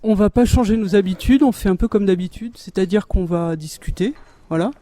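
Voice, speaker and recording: A man's voice: high voice, brisk, dark tone. A man hosting a radio show, informally presenting and opening a group discussion. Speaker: radio host.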